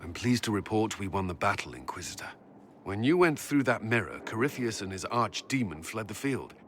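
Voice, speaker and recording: Military officer, matter-of-fact, sharp-edged tone, fantasy game, faint ambience behind. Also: male voice, lowish voice, sing-song, brisk, slight background noise. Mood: businesslike. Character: brisk military commander reporting to his leader. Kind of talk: dramatic fantasy game acting.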